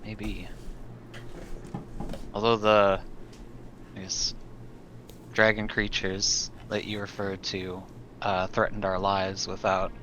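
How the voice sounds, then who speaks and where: Deep guy doing tabletop roleplay, really slow and measured, sharp bright tone, bit of noise. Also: monotone, poor audio. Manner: measured, deliberating. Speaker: tabletop game player.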